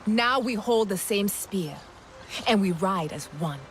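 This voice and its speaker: A woman's voice: mid-range voice, expressive, a little slow, slight background noise, close to an everyday voice. Woman as a proud, formal alien clan leader. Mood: ceremonial. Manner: formal, oratorical. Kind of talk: dramatic video game acting.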